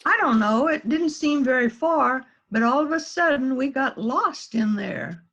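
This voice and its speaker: Woman as innocent-sounding suspect, very high voice. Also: expressive, measured pace, a bit bright, heavily acted. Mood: mournful. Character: small, guileless-sounding female murder suspect spinning an alibi. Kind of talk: amateur readers theater mystery. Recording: dull, lo-fi online call audio.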